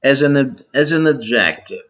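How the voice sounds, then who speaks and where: A man's voice: medium pitch, lively intonation, fairly bright tone, highs cut off. A man from Italy, not a native speaker, debating on a voice call from his family home. He interrupts often and probes each point with care. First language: Italian. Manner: interrupting, probing, deliberate. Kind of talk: casual online debate.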